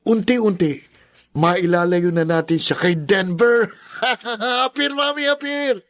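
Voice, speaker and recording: Radio drama villain's son: young Filipino conniver, sly, exclamatory, raised voice pitch. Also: male voice, slow, a bit bright. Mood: gloating. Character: gloating young adult son, conniving sidekick to mother.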